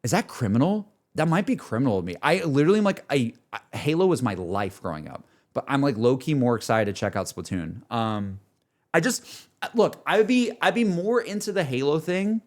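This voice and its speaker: A male game streamer chats off the cuff in a middle-pitched voice. He rambles candidly, working through his thoughts aloud as he addresses his viewers in loose, everyday speech. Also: big pitch swings, moderate pace. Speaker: game streamer talking to chat. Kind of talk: casual livestream chat, unscripted.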